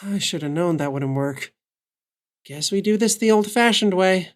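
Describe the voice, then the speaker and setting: A woman's voice: fairly low pitch, animated, normal speed, natural voice. A woman playing a wary, caring and easily exasperated male adventurer in an audio drama. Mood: doubtful, wry.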